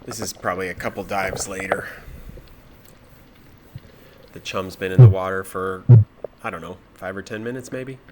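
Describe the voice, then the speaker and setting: A man with a deep, low voice narrates dive footage in a relaxed, explaining way. His nose is stuffed up from a cold. A faint noise sits under the voice-over. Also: normal speed, long pauses. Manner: relaxed, matter-of-fact explaining. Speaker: spearfishing vlogger.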